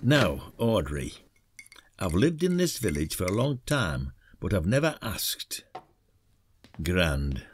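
A man's voice: deep voice, very animated, slow pace, a little hiss or hum, exaggerated voice. An actor playing a reluctant, wry retired village detective, an older man with an understated manner, in a podcast drama. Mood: probing. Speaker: lead actor.

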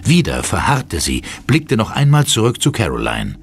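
A man narrating a horror audio drama in a deliberate, ominous and dramatic manner. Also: on the low side, wildly swooping pitch, gravelly, heavily acted. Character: grave narrator of a horror tale. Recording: loud music bed under voice. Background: loud dramatic music.

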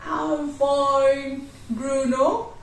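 A woman voicing a kindly, gentle old grandpa in a children's puppet show. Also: sits high, level tone, drawn-out words, vocal fry, exaggerated voice. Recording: echoey room, distant mic, music.

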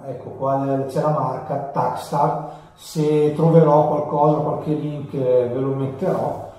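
A man in his thirties talks through a casual tutorial from across a very echoey room. His delivery is slow and hesitant, often pausing and repeating himself. The tone of his voice is soft-edged and mellow. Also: fairly high pitch, fairly even intonation. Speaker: motovlogger presenting a tutorial. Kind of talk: casual unscripted tutorial talk. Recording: echoey room, distant camera mic.

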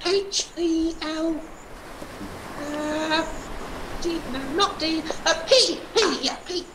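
A woman voicing an excitable, naive little boy in a puppet show, exclaiming and drawing out simple words. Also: very high voice. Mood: concentrating. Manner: exclaiming, drawn-out words, simple childlike phrasing. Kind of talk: hobby puppet show acting. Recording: roomy, echoing home recording with faint noise.

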